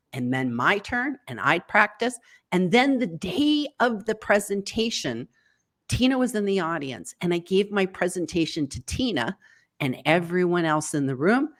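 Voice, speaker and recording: A woman guest on a live video interview, giving encouraging tips in an animated way and leaping up in pitch for quoted thoughts. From United States. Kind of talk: online interview. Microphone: video call.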